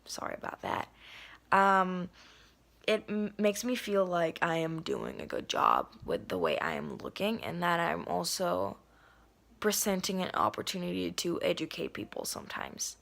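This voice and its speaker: A young adult nonbinary vlogger talks casually to the camera at home. The voice is a little hoarse and raspy, and the talk is earnest, full of ums and likes. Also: mid-range voice, wildly swooping pitch, moderate pace, a bit bright. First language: Spanish. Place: indoors at home.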